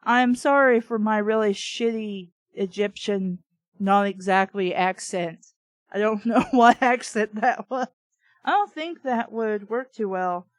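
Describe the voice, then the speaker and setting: A woman's voice: medium pitch, measured pace, no echo. A woman reading a story aloud and breaking into casual, sarcastic, exasperated commentary. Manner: sarcastic, exasperated asides with swearing. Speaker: book reader and commentator. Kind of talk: audiobook-style reading with casual asides.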